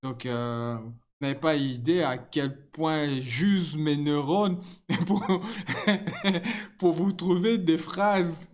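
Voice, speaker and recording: Slow-talking non-native man hosting a channel. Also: on the high side. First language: not French. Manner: chatty, frequent laughs, halting restarts. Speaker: channel host. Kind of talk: casual commentary to viewers.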